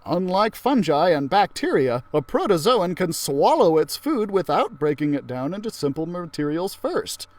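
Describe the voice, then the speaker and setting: A man's voice: high voice, sing-song, normal speed, few pauses, no echo, voice pushed up. A man voicing an enthusiastic, eccentric woman science teacher who explains things emphatically, in a children's storybook. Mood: eager explaining.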